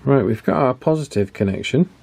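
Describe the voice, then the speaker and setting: A man's voice: medium pitch, animated, fairly quick, gravelly, background noise. A man casually narrating a walkie-talkie repair, thinking aloud with frequent fillers and restarts. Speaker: electronics repair YouTuber.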